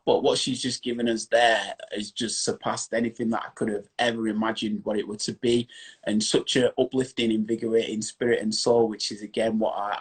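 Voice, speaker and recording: A man's voice: mid-range voice, breathy edge. A man in his thirties with a strong Yorkshire accent, hosting a casual live-stream interview on his phone in a small room, upbeat and effusive. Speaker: host. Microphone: phone front camera.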